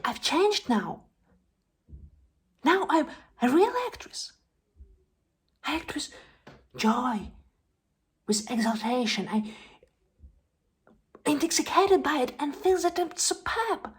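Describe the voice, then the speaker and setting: A woman playing a distraught, exhausted young actress in a theatrical stage monologue, halting and repeating herself, breathy and shifting between hushed and loud delivery. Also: high-pitched, very animated, heavily acted. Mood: exalted.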